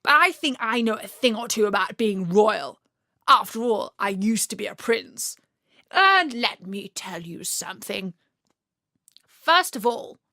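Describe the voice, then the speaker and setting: A woman's voice: medium pitch, sharp tone, dry recording, clean studio sound. A woman voicing a noble, self-important male frog who speaks like a proud prince in a children's storybook.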